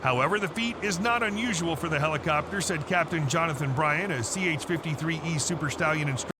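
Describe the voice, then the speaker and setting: A man reading scripted narration for a military news video in an even, formal tone. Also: on the high side, quick pace. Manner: even, formal, informational delivery. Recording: narration over loud vehicle noise.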